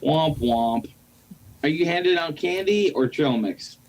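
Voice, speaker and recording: A man acting in an amateur audio play as a deadpan fellow, reading his lines out slowly. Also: a little high, low-quality recording. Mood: mock puzzled.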